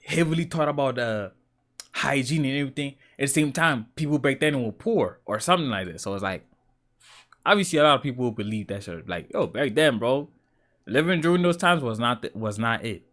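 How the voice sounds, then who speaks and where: A man's voice: a little high, a bit bright. A young man from South Africa with a South African accent, reacting to a video in casual, profane, rambling commentary. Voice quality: slangy, profane, rambling with restarts.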